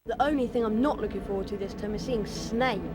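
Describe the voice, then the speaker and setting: A woman's voice: a little high, brisk, lightly acted. A woman voicing an earnest, plucky British schoolboy hero in a video game, polite in manner. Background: loud music.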